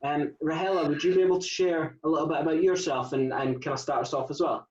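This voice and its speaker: A man's voice: a little high, steady tone, quick pace, darkish tone. A man moderating an online panel over a video call, polite and a bit hesitant. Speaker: panel host. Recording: dull, echoey, far from the mic.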